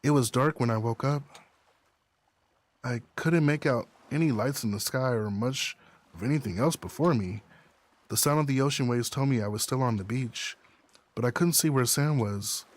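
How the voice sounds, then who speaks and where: A man's voice: mid-range voice, moderate pace. A man reading a visual novel aloud as first-person story narration. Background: background music.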